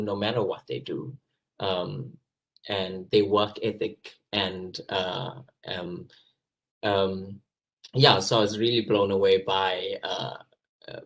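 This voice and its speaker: A man's voice: on the low side, low-quality recording. A South African actor on a video call, recalling his time abroad in an earnest, enthusiastic way. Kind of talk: casual personal recollection.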